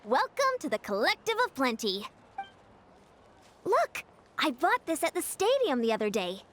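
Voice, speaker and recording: A woman voicing an enthusiastic, encouraging sports coach in a game, who talks in an energetic, bossy-friendly way. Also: high voice, unhurried, noisy background, full character voice. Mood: upbeat. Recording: game audio with faint music.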